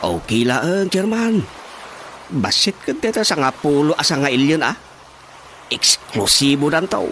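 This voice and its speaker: A man's voice: fairly high pitch, very animated, a little slow, pauses often, fairly bright tone, slight background noise, exaggerated voice. A man with an Ilocano accent playing an eager, boastful village councilman in a radio drama comedy.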